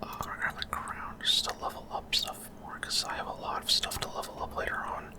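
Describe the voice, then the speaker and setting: Male ASMR gamer, fully whispered delivery, breathy, halting off-the-cuff explanations in short phrases. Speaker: gaming video creator. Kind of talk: whispered ASMR gameplay commentary.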